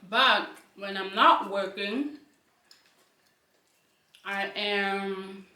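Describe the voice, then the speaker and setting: A woman's voice: mid-range voice, very slow, bright, forceful tone. A young woman, chatting to the camera between bites of food while she hosts her channel. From South Africa. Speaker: channel host. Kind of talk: casual chat while eating. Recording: echoey room, mic at a distance.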